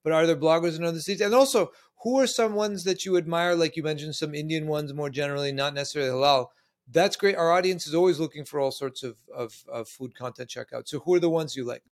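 A man from Canada hosting an interview, asking energetic follow-up questions. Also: fairly high pitch, quick pace. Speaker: interviewer, host.

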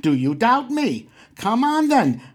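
A man's voice: very high voice, sing-song, slow, full character voice. A man playing a boastful, exhorting 1920s mail-order muscleman, delivering a vintage advertisement. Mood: rousing. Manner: exhortatory, boastful huckster patter. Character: brash 1920s mail-order muscleman pitching body-building to weaklings.

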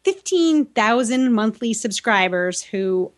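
A woman's voice: on the high side, animated, takes it slowly. An American woman co-hosting a podcast in a casual, chatty and playful way. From New England, United States. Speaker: podcast co-host. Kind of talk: casual co-host banter and chat.

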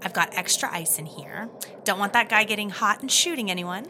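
A woman's voice: mid-range voice, brisk, lightly acted. Actress as helpful, folksy female inmate, noisy ambience. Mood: cheerful, helpful. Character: friendly, helpful, chirpy female prison worker Cuddles. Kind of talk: comedy audio drama acting.

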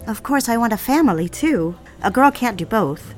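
A woman's voice: a little high, lively intonation, moderate pace, soft background music, natural voice. A woman acting a poised, witty young single lady in a period romantic audio drama, coy, teasing and inquisitive. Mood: sincere, warm. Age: young adult.

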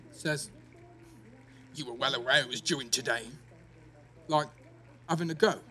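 A man's voice: a little high, fairly bright tone, close to an everyday voice. A young man playing a defensive, sweary teenage schoolboy in a naturalistic audio drama, with an East Midlands English accent and a blunt, slangy delivery. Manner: blunt, slangy, full of tag questions.

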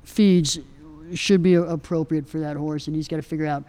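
A soft-spoken woman gives advice at a live panel, her voice pitched a little low and mellow. She rambles, circling back with fillers and restarts. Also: steady tone, normal speed. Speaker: equine nutrition expert answering questions. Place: outdoor event festival.

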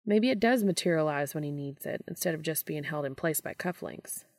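A woman reading fan fiction aloud in expressive storytelling narration. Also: low-pitched, a little fast, no room sound, studio quality.

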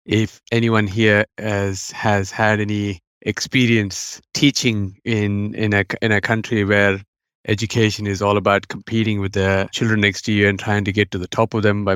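A man's voice: fairly low pitch, a bit bright, clear, resonant tone, no room sound, clean studio sound. A middle-aged man with an Indian accent, raised in India, talking reflectively as a podcast guest about his background, with frequent restarts and "you know". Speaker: guest, mental health educator. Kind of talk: podcast interview.

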